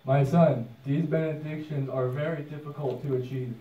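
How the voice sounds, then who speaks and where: A man's voice: fairly high pitch, steady tone, moderate pace, lightly acted. A man voicing a grave, patient, fatherly god in a puppet show, with solemn authority. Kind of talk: puppet show storytelling, theatrical. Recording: muffled, echoey, distant, some noise.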